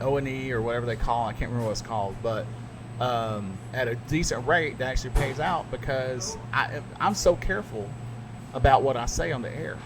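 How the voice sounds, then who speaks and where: A middle-aged man with a Southern US accent, a radio broadcaster chatting casually on a podcast, animated and quick to jump up in pitch when he makes a point. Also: background noise. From American South. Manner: animated storyteller, jumps up in pitch when emphatic.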